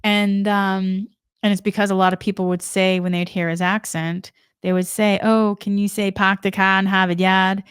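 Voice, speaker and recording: A woman's voice: mid-range voice, flat delivery, normal speed, clear, resonant tone, no room sound, studio quality. A woman from Massachusetts with a faint Boston accent, giving a spiritual energy forecast in an earnest, rambling way, restarting and stumbling over words. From Massachusetts, New England. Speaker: spiritual channel host.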